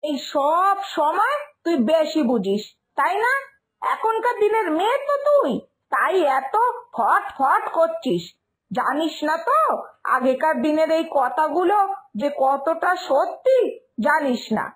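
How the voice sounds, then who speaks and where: A woman's voice: high voice, sing-song, slow pace, heavily acted, rough recording. A woman voicing a superstitious, stubborn village mother in a cartoon moral tale, scolding and insistent. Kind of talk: Bengali moral-story cartoon dialogue. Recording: music behind the voice.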